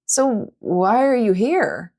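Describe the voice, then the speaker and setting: Audiobook heroine, earnest, flustered but defiant, pitched high, performed by a female narrator. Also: animated, slow pace, a little mellow, full character voice. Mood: confused. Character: plucky, flustered young adventurous heroine.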